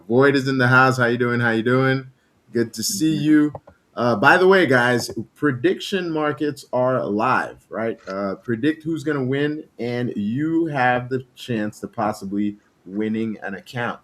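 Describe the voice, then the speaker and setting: A man whose first language is French, hosting a casual trading livestream, relaxed and laughing often while greeting viewers. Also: mid-range voice, a little slow. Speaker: livestream host.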